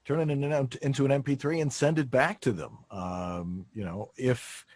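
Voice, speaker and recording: A man's voice: medium pitch, steady tone, moderate pace, darkish tone, no echo. A man giving conversational technical advice as a studio expert, in a blunt, emphatic, plain-spoken way.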